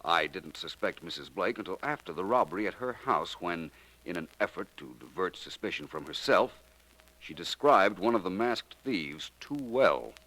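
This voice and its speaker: An actor playing a suave, calmly superior gentleman detective in a 1940s radio drama, smooth and unhurried. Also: sits low, rough recording. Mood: explaining. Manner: smooth, unhurried, lightly condescending.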